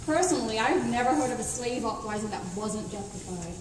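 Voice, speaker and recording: A woman's voice: on the high side, animated, a little fast, close to an everyday voice. A woman playing a cheeky, combative adventurer in comedic radio theatre, casual in manner. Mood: cocky. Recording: echoey hall, distant mic, faint noise.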